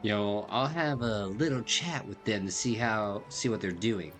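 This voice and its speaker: A man's voice: on the low side, a little slow, music in the background. A man hosting a game walkthrough with casual, slangy commentary and a laid-back manner. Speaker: gamer, walkthrough host. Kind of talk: casual game commentary, reading dialogue aloud.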